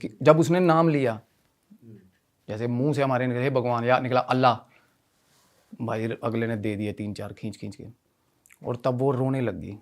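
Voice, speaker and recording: A man telling a story in animated conversational style, often repeating himself and mixing in English phrases. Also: medium pitch, normal speed, pauses often. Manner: repetitive, mixes Hindi with English phrases. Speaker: podcast guest telling a ghost story.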